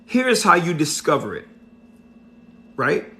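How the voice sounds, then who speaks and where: Slow, deliberate motivational man. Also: a little high, very animated, sharp tone. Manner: preachy, repeats points, asks "right?" often. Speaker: motivational speaker. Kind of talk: motivational talk, livestream.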